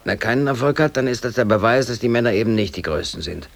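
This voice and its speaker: A man's voice: medium pitch, animated, fast, creaky. An actor playing an aggrieved, defiant working man in his thirties, brooding aloud in colloquial speech in a radio drama. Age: 30s. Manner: colloquial, brooding, talking to himself. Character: aggrieved, defiant working man, hobby photographer, in police custody.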